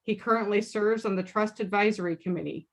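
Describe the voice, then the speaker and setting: A woman's voice: mid-range voice, fairly even intonation, a little fast. A woman moderating an online Q&A session, giving orderly housekeeping instructions before introducing the guest. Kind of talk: opening a recorded online Q&A.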